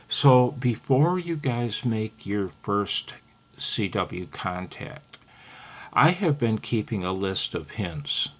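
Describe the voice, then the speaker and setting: Deep-voiced, slow-talking man. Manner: rambling, conversational, thinking aloud. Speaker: amateur radio hobbyist giving a tutorial. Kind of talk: casual, unscripted tutorial.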